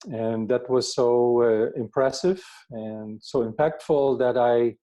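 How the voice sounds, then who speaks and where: Older male interviewee, Dutch accent. Mid-pitched, soft dark tone, reflective and halting, repeats words while explaining. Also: steady tone. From Netherlands. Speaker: workshop facilitator, therapist being interviewed.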